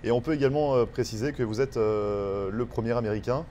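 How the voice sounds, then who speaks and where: A man's voice: medium pitch, a little fast, mellow, slight background noise. A man hosting a television interview, putting questions conversationally in loose, hesitant phrasing. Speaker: TV show host, interviewer.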